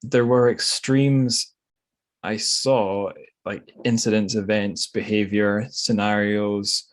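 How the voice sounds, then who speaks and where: Male podcast host with a fairly low voice; thoughtful, halting, informal interviewing style that rambles a little. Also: little pitch movement. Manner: hesitant, rambling, thinking aloud. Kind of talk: conversational literary interview.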